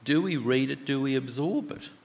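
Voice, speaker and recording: A man preaching a sermon with a loud voice, talking conversationally and asking the congregation many questions. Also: mid-range voice, moderate pace. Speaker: pastor. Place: church. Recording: long church reverb.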